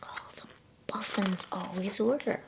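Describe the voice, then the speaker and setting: A woman's voice: mid-range voice, slow pace, vocal fry, noisy background, voice pushed up. A woman voicing a kindly, knowing male faun, chatty and genteel, in a whispered bedtime storybook. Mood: approving, chatty.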